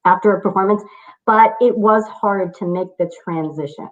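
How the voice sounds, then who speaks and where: A woman's voice: mid-range voice, normal speed, muffled recording. A woman speaking as a public speaking coach in a casual interview, encouraging and coaching her listeners over a video call.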